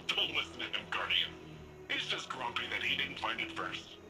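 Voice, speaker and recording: A man's voice: medium pitch, sing-song, unhurried, music in the background, low-quality recording. A man playing an exuberant, playful young cybernetic warrior in a video game, with a casual, slangy, effusive way of talking. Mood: excited.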